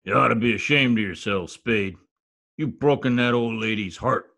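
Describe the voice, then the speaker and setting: Man as a gravelly, blunt gangster boss. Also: mid-range voice, moderate pace, noisy background, close to an everyday voice. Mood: indignant, tough. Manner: tough, slangy, forceful. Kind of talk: 1940s radio crime drama acting. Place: home, recorded over video call.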